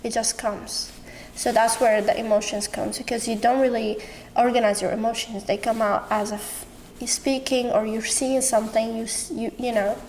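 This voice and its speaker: A woman's voice: on the high side, steady tone, normal speed, darkish tone, slight background noise, poor audio. A woman from Syria with a Syrian Arabic accent and Arabic as her native language, talking expressively and informally as a podcast guest. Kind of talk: casual personal interview conversation.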